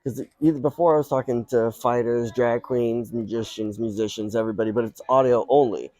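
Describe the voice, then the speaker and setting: A man's voice: medium pitch, fairly even intonation, fairly quick, warm tone, no room sound. A man born in Colombia and raised in South Florida, hosting a casual podcast chat in a small booth inside a shopping mall, talking in a rambling way with lots of fillers. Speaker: podcast host.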